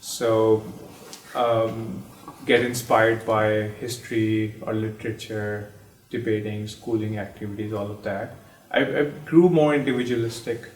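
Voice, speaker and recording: A man in his thirties from Pakistan tells a personal story slowly and reflectively, with many ums and restarts. His voice stays flat and even, heard from some distance in an echoey room. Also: fairly low pitch. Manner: hesitant, many fillers and restarts.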